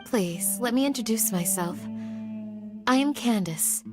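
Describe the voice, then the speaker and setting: A woman playing a gracious but firm young village guardian in a video game, speaking politely and formally in a measured way. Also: fairly high pitch, expressive, over music. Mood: apologetic, welcoming.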